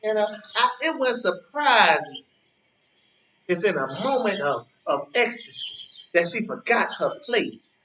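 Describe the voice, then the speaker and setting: A man's voice: high-pitched, animated, measured pace, bright, forceful tone, roomy sound, a little hiss or hum, exaggerated voice, low-quality recording. A man playing a smug old man in a stage play, needling his rival with mock-genteel, theatrical taunts. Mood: gloating.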